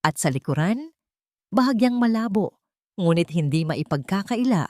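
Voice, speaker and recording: A woman's voice: mid-range voice, animated, normal speed, darkish tone, dry recording, studio quality. A woman with a Filipino accent reading a scripted romance story as a dramatic storyteller.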